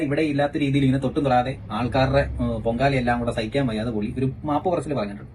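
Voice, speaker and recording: Kerala guy arguing, talks fast and sarcastic, bit of background noise. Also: medium pitch, a little mellow, rough recording. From Kerala, India. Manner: confrontational, sarcastic. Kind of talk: casual critical commentary and phone argument.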